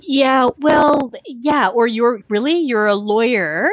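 A woman in her forties from Canada, a lawyer talking thoughtfully in a podcast interview, pausing often with fillers and restarts. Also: a little high, highs cut off, a little hiss or hum.

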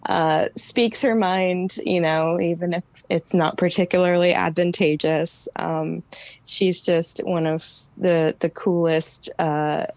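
A woman's voice: on the low side, steady tone, takes it slowly, a little mellow, no room sound. A woman who edits a podcast, chatting casually and often chuckling as she talks. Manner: chuckles often while talking. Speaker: podcast editor. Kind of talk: casual chat.

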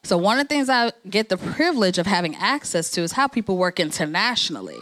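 A woman's voice: mid-range voice, very animated, fast talker, fairly bright tone. Woman speaker rallying a networking crowd. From New Jersey, USA. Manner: conversational, encouraging, rallying. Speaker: organization president. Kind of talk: speech at a networking event.

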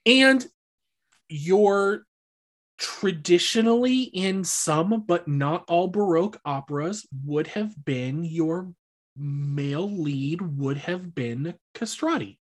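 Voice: medium pitch, takes it slowly. A podcast co-host chatting casually about musical theater, hedging and qualifying each point. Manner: hedging, rambling, qualifies every claim.

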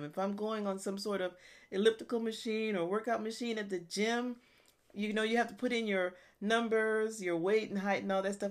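Fifties woman, fitness coach, chatty podcast host, somewhat high voice, laughs easily. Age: 50s. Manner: chatty and self-deprecating, laughs often. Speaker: online fitness and nutrition coach. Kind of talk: casual solo podcast talk.

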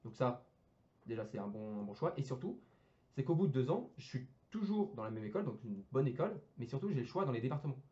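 A young man talking quickly in loose, casual speech, tripping over fillers and restarting his sentences. His slangy chat comes through on a dull, lo-fi recording. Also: mid-range voice, very animated, mellow. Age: young adult. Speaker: engineering student guest.

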